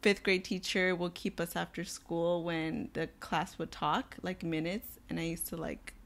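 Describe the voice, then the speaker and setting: Young woman, raspy voice, somewhat low pitch, chatty and earnest, faith podcast co-host. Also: level tone, measured pace, a bit bright. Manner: chatty, many filler words, earnest.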